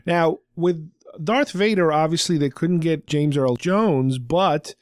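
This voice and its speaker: Higher-voiced man, casual podcast chat. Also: takes it slowly, no room sound. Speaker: podcast co-host. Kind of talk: casual fan discussion.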